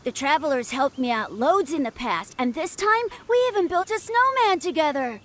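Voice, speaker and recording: A woman's voice: sits high, animated, moderate pace, a bit bright. A woman voicing a sweet, grateful young boy in a game, chatty and eager. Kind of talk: game voice acting.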